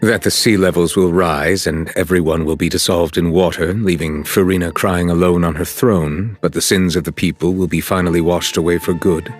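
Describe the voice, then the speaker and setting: An actor playing a solemn, courteous chief justice in a video game, speaking in formal, measured, stately phrases. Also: male voice, sits low, fairly even intonation, faint music behind, natural voice. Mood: formal, composed. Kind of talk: scripted game cutscene dialogue.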